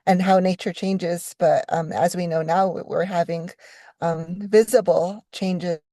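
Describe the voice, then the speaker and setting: A middle-aged woman from New Mexico giving a conference keynote as a professor, speaking in an unhurried, reflective way with frequent ums and restarts. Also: on the low side, fairly even intonation, dark tone, no room sound, clean studio sound.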